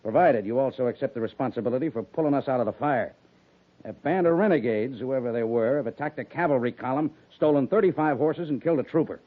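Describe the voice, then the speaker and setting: A man's voice: medium pitch, quick pace, lightly acted. A man in a 1950s radio drama playing a blustery, grumbling but indulgent cavalry major, emphatic and easily exasperated. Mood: grudging, brisk. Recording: muffled, band-limited 1950s radio recording.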